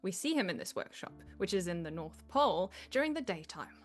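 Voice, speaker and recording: A woman narrates a script at a live table read, delivering the stage directions. Her voice sits slightly low, and faint music plays along with it. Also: big pitch swings, brisk.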